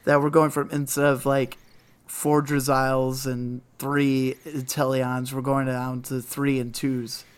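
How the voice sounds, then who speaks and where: Raspy, hoarse male co-host, monotone, rambling casual delivery. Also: mid-range voice, slow pace. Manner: rambling, many fillers. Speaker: podcast co-host. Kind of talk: casual card game podcast talk.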